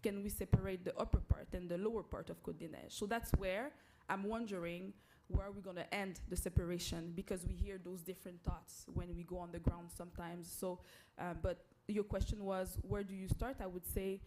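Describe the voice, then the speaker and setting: Woman mayor, halting council replies, light hiss. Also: lowish voice, little pitch movement, darkish tone. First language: French. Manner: halting, restarts often. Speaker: borough mayor. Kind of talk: council meeting answer.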